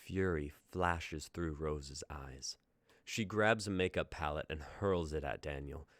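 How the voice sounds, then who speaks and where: A man with an extremely deep voice reads stage directions aloud in a plain, measured way. The sound is dry and close, with no room around it. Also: a bit bright, dry recording. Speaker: narrator reading stage directions. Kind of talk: reading scripted narration aloud.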